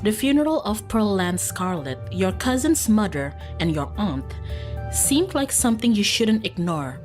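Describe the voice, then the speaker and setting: A woman streamer plays a visual novel over faint game music. She moves between reading the text aloud and chatting casually to her viewers. Her manner is playful and self-teasing, and her voice sits at an ordinary female pitch. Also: lively intonation, measured pace, a little mellow. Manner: playful, self-teasing, easily breaks off into asides.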